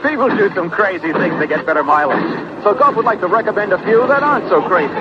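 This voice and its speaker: Casting: male announcer for an old gas spot, lively intonation, muffled radio recording. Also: high voice, fast talker, heavy background noise. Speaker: commercial announcer. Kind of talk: scripted gasoline advertisement narration.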